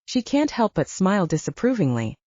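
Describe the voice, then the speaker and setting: Mellow, expressive woman narrating fiction. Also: medium pitch, fairly quick, no room sound, highs cut off. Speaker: audiobook narrator. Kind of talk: scripted dramatic fiction narration.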